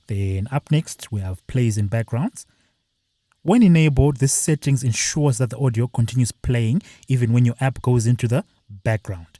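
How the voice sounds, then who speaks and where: A man presenting a screen-recorded software tutorial, explaining each step plainly with the odd filler. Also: medium pitch, no room sound.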